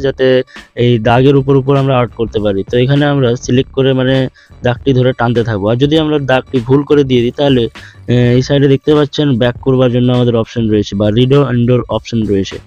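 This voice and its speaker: Fast-talking man, tutorial voice-over. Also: mid-range voice, darkish tone. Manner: instructional, repetitive explaining. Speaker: tutorial host. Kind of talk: step-by-step screen tutorial voice-over. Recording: dull lo-fi voice-over, music behind. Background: background music.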